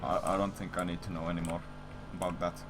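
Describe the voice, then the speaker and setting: A man's voice: low-pitched, level tone, fast talker, background noise. A man livestreaming, telling a story in casual, rambling chat with blunt, repetitive, profanity-heavy talk.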